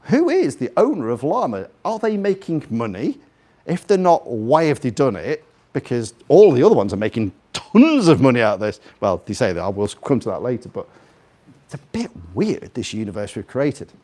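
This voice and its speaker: Animated man with a slight Scouse accent. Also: medium pitch, normal speed. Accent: slightly Scouse (Liverpool). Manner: chatty, jokey, rambling, puts questions to listeners. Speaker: guest speaker. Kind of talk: informal live talk to an audience. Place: live in a room in front of an audience.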